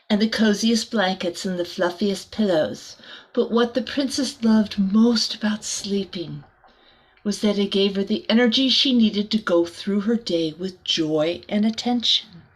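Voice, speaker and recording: A woman reading a picture book aloud to children like a teacher, expressively, with soft chatty asides. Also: medium pitch, a little slow, rough recording.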